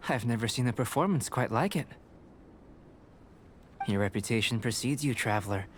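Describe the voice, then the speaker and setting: A man playing a calm, courteous young alchemist researcher in a video game, speaking in a measured, formal, analytical way. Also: medium pitch, background noise, lightly acted. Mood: intrigued.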